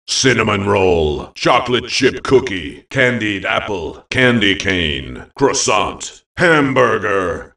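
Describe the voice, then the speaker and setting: A male actor playing a theatrical fantasy video game announcer, calling out short phrases with deliberate emphasis. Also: deep voice, a bit bright, far from the mic, full character voice. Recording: echoey, set back from the mic.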